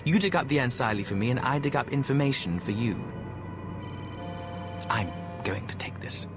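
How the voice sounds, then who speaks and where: An actor playing a suave, decadent ancient undead Egyptian fixer in a video game, droll and languid with mocking theatrical asides. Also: male voice, medium pitch, lively intonation, moderate pace, music in the background, close to an everyday voice. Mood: sly, businesslike. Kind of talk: video game cutscene acting.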